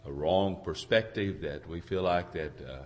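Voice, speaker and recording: Male preacher, low voice, hoarse from a cold, halting delivery, folksy informal sermon. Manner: halting, searching for words, throat-clearing. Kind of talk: informal sermon with congregation responses.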